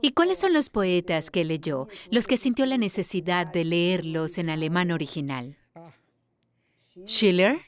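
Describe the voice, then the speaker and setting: A woman's voice: lowish voice, expressive, measured pace. A woman interviewer who asks engaged follow-up questions.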